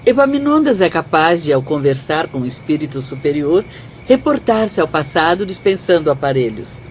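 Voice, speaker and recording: An actress with a Brazilian accent playing a serene, instructive spirit sister in a radio drama, with formal, didactic speech. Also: female voice, low-pitched, big pitch swings, moderate pace, darkish tone. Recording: dull audio with faint background noise.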